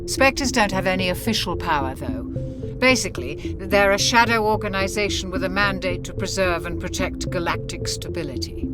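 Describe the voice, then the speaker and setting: An actress playing a calm, measured female ship's doctor in a video game. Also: mid-range voice, over music, close to an everyday voice. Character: level-headed female ship doctor. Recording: game audio with score.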